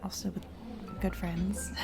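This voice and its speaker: A woman playing a warm single mom on a first date in a romantic audio roleplay, speaking hesitantly and encouragingly with soft giggles. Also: mid-range voice, level tone, takes it slowly, vocal fry. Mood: shyly playful. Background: background music, restaurant date ambiance.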